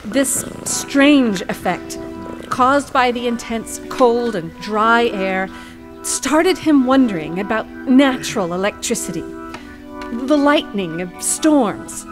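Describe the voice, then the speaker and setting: An earnest, high-voiced defense attorney. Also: female voice, lively intonation, slow, over music. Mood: earnest, narrating. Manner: formal, earnest, articulate. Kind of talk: courtroom audio drama acting.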